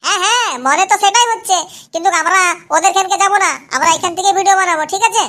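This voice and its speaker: A tiny-sounding, squeaky cartoon companion rattles along extremely fast. The voice is sharp and eager, always agreeing and repeating itself in comic dialogue.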